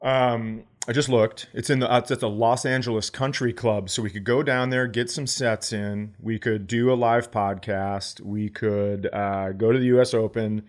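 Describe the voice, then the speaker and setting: A man's voice: on the low side, steady tone, moderate pace, hardly any pauses. A middle-aged man, a comedian co-hosting a podcast, chatting casually with emphatic, sweary phrasing. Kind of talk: casual conversational banter.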